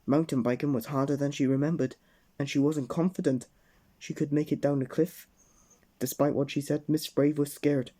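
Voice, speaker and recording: A man reading a children's storybook aloud at bedtime, gently addressing the young listener. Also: fairly high pitch, fairly even intonation, normal speed.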